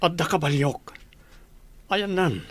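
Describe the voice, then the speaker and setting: A man's voice: fairly high pitch, expressive, takes it slowly, dry recording. A man with an Ilocano accent playing a bewildered amnesiac in a radio drama. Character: bewildered man slowly regaining his lost memory.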